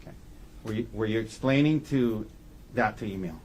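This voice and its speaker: A man's voice: lowish voice, darkish tone, rough recording. A man works through courtroom questioning as an attorney. He feels his way into leading questions and ends them with brief requests to confirm. The courtroom recording carries faint noise. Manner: halting, leading questions with short confirming tags. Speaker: defense attorney questioning a witness.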